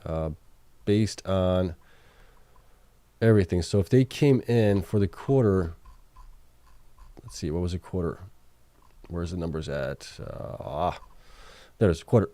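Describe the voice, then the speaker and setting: A man's voice: sits low, moderate pace, dark tone, no room sound. A man hosting a late-night livestream, talking through financial results and viewer questions. He thinks aloud, with many fillers and self-corrections, and is starting to lose his voice. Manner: reads out viewer chat questions. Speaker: livestream host. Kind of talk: casual livestream chat reading financial results.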